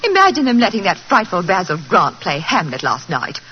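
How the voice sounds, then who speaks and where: An actress with a British accent playing a gushing, stage-struck society wife with theatrical emphasis and a tart edge, in a 1940s radio drama. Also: female voice, medium pitch, wildly swooping pitch, fairly quick. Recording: noisy, muffled old radio recording.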